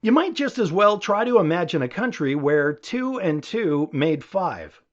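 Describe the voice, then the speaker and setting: A man narrating an audiobook in the style of a conversational lecture, with emphatic, rhetorical, measured phrasing. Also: high-pitched, sing-song. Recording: dull, muffled lo-fi audio.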